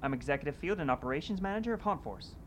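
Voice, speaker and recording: An actor playing a small, nervous, officious assistant ghost hunter in an audio drama. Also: male voice, on the high side, animated, very fast, slight background noise, natural voice. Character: small, nervous, self-important male assistant over thirty.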